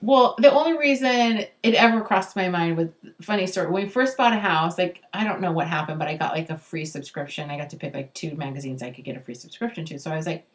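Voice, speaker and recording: A woman's voice: fairly low pitch, quick pace, few pauses, distant mic. A tired middle-aged woman, a mother, co-hosting a podcast in casual chat, rambling with lots of "like" and "um". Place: same room as co-host, home recording.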